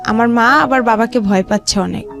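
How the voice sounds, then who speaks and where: A woman's voice: a little high, brisk, vocal fry, fairly bright tone, soft background music. A woman with a Bangladeshi accent telling a life story in an interview, rambling and repeating as they go step by step.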